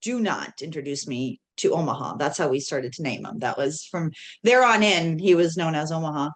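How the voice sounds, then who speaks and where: Lively woman telling stories. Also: low voice, normal speed. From Canada (Toronto). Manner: animated, laughs often, quotes others in dialogue. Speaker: podcast guest. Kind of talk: casual storytelling.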